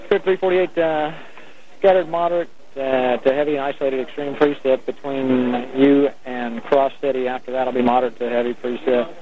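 A man's voice: mid-range voice, flat delivery, fairly quick. A man working as an air traffic controller, giving clipped procedural instructions over the radio. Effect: radio transmission. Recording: narrow-band, noisy air traffic radio.

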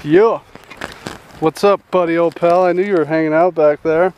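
A young man hosting a casual fishing vlog outdoors at a rural pond, chatty and excited, calling everyone "dude" and "guys", recorded on a head-mounted action camera. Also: fairly high pitch, normal speed, highs cut off, a little hiss or hum. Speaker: fishing channel host.

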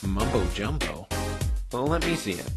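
A man plays a flustered young cartoon lawyer. His voice swings widely in pitch and his manner is dry, stammering and exasperated, with music behind him. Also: very animated, normal speed. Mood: thoughtful, dry.

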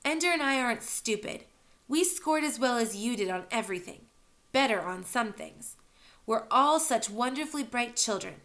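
A clever young girl with a sharp tongue, read by a woman. She answers back defiantly in a raised, cutting tone. The story is told as an audiobook. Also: a little high, animated, moderate pace, pitched above the performer's own voice.

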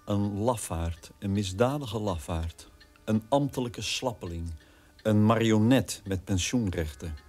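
A man's voice: lowish voice, measured pace, a little mellow, faint music behind. An actor playing a son who sardonically scorns his officer father in a radio drama. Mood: contemptuous. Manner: contemptuous, sardonic.

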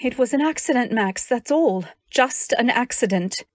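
A woman's voice: on the high side, animated, normal speed, no echo, clean studio sound. A woman playing a fiercely loyal, protective wife in a radio drama, speaking earnestly and with dramatic emphasis. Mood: tender, insistent. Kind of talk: old-time radio drama acting with first-person narration.

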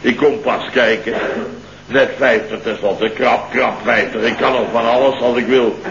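A man's voice: mid-range voice, steady tone, normal speed, fairly bright tone, lo-fi sound, close to an everyday voice. Blustering middle-aged male boss played by an actor for radio comedy; repetitive, pompous rambler, echoey and noisy vintage sound. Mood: flustered. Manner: rambling, stammering, self-important, repeats himself. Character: blustering, boastful fifty-year-old male boss. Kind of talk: 1970s Dutch comedy radio play acting.

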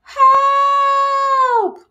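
A woman voicing small, excitable bugs from a children's storybook, exclaiming in a squeal. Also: very slow, muffled recording, exaggerated voice. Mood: alarmed. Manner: exclaiming, high-pitched squealing.

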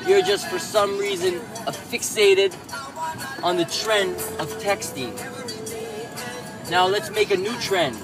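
High-voiced man ranting from his car. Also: takes it slowly, long pauses, over music. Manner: indignant, lecturing rant. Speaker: vlogger. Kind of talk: ranting vlog while driving. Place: inside a moving car. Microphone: camera mounted on the dashboard.

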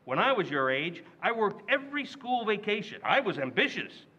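A man acting a loud, indignant, stingy working-class father in an old-time radio comedy recreation. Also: high-pitched, big pitch swings, normal speed, husky, lo-fi sound, full character voice. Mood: blustering. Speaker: radio actor.

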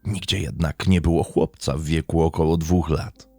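A man narrating a scripted true crime story in a measured, dramatic storytelling manner. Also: a bass voice, steady tone, a little mellow, dry recording. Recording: faint music behind. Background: faint background music.

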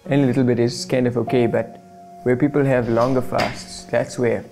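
A man's voice: mid-range voice, level tone, moderate pace, music in the background. A man casually explaining things to the camera in an easygoing way, with some fillers.

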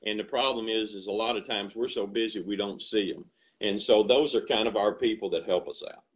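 Older Southern farmer, folksy storyteller, headset call audio, slightly dull and lo-fi. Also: male voice, lowish voice, fairly quick. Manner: animated storytelling, earnest and folksy. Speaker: dairy farmer and ice cream shop owner. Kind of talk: casual interview over video call. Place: home room with bookshelves. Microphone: headset on video call.

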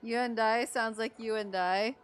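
A woman from the Philippines giving chatty, expressive reactions as she livestreams a game. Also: on the high side, measured pace, smooth. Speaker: game streamer. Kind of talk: casual livestream reaction commentary. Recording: game music behind the voice.

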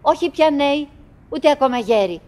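High-voiced wistful chattering woman. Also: animated, darkish tone. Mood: insistent, remembering. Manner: halting, repetitive, dramatic shifts between musing and exclaiming. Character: wistful, chattering middle-aged woman talking to her silent husband. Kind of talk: theatrical radio drama monologue.

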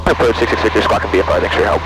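A man's voice: on the low side, racing. A young man piloting a small plane, making radio calls and chatting casually through a headset mic. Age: young adult. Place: cockpit of a small propeller plane in flight. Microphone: aviation headset boom mic. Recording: loud engine noise, headset intercom.

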